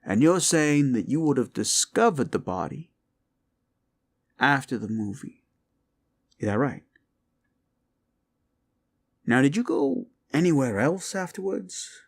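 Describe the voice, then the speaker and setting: A man playing a rumpled, folksy police detective in a roleplay audio drama, musing and halting as he politely needles a suspect with feigned casualness. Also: medium pitch, sing-song, full character voice. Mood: politely needling. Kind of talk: roleplay audio drama, interrogation monologue addressed to listener.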